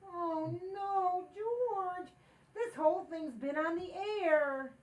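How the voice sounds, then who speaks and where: A playful, scatterbrained wife from old-time radio comedy acted by a woman. Her voice is high and lively, and she draws out her lines at an easy pace in an echoing room. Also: slow pace. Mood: dismayed. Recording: roomy, echoing sound.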